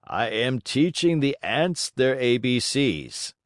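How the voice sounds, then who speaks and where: A man voicing a surly, weary old carpenter in a storybook, with drawn-out delivery. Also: mid-range voice, expressive, no echo, studio quality. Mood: weary, dry.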